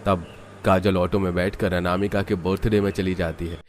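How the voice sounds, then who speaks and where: Low-voiced male cartoon story narrator. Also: level tone, normal speed, slight background noise.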